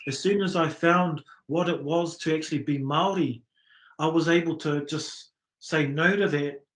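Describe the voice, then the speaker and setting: A man's voice: a little high, fairly even intonation, normal speed, a bit bright, roomy sound. A middle-aged man with a New Zealand accent, telling his story earnestly in a casual interview, with many fillers and restarts. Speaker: musician guest.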